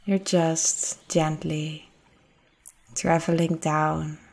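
A woman guides a meditation in a low voice. Her delivery is very slow and soothing, with long pauses between phrases. The intonation stays gentle and flat, never hurried. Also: vocal fry.

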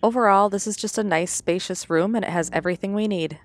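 A woman's voice: medium pitch, quick pace, no echo. A middle-aged woman reading a matter-of-fact voice-over about her weekend. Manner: even, matter-of-fact storytelling. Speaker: vlogger narrating her weekend. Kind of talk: scripted voice-over narration.

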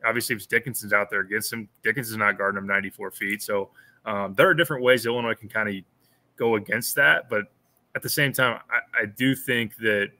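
A man in his thirties talks through a game as a podcast co-host on a video call from a small room at home. His voice sits a little low but sounds very bright and forward. He is thoughtful and circles back often, repeating words as he works out each point. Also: brisk. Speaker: podcast co-host, sports analyst. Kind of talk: casual postgame analysis. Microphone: over-ear headphones with a webcam on a video call.

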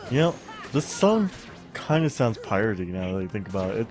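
A man's voice: fairly low pitch, very animated, normal speed, a little mellow. A young man with a somewhat stuffy voice hosts a casual let's play, rambling and getting distracted, with lots of fillers and bits of humming. He is on a studio mic at home, with faint game music behind him. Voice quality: stuffy-sounding. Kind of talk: casual gameplay commentary.

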